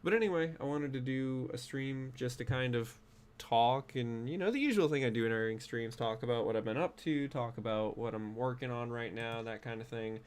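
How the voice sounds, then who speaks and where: A man's voice: medium pitch, moderate pace, clean tone. A young man with a stuffy nose, chatting casually and rambling with many fillers while he streams a game. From United States. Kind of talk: casual gaming stream chat.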